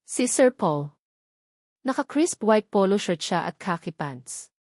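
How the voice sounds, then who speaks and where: A woman's voice: mid-range voice, very animated, takes it slowly, darkish tone, no room sound, studio quality. A woman with a Filipino accent reading a scripted first-person romance story as its storyteller.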